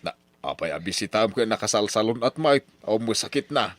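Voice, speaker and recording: Radio drama father male actor, middle-aged poor farmer, Ilocano accent, low voice, bossy, grumbling schemer. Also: lively intonation, fairly quick, dry recording, lightly acted. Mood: stern, dismissive. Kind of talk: old radio drama acting.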